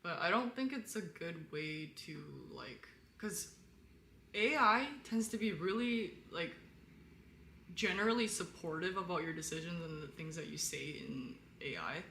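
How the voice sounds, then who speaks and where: A young woman talks casually to her live stream viewers, candid and rambling. Her voice is bright and forward, recorded in an echoey room with a faint layer of noise behind it. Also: unhurried. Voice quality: lower-toned voice. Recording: roomy echo, faint background noise.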